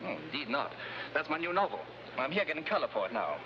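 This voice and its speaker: An actor playing a chatty, whimsical gentleman novelist with breezy upper-class phrasing in a 1950s television adventure. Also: a little high, very animated, fast talker. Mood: breezy, chummy. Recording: muffled old TV soundtrack with noise.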